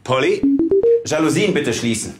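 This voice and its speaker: A man's voice: on the high side, animated, moderate pace, over loud music, lightly acted. A man playing a polite, unruffled doctor in a TV crime drama, speaking in a courteous, matter-of-fact way. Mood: calmly instructing. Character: polite, unruffled male doctor proud of his gadgets.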